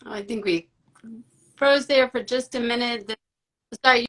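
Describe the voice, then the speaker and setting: A woman's voice: on the high side, normal speed, a bit bright, poor audio. A woman hosting a live online interview, welcoming her guest and guiding the talk over a video call. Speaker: host.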